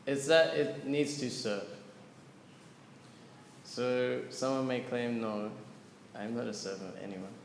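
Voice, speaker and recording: A man's voice: medium pitch, fairly even intonation, slow, creaky, pauses often, slight background noise. A man teaching a devotional scripture class to a live audience, explaining earnestly and putting questions to listeners. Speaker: lecturer on scripture. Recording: echoey hall with long reverb, faint ambience.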